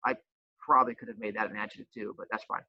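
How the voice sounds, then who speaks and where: A man's voice: on the low side, little pitch movement, very fast, no room sound, lo-fi sound. A man teacher who also coaches, explaining a homework assignment with playful jokes and teasing. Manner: says he has a loud voice.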